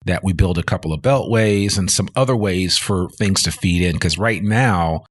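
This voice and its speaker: Low-voiced chatty male podcast host. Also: moderate pace, breathy edge. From New Jersey. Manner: chatty, rambling asides. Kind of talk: casual interview chat.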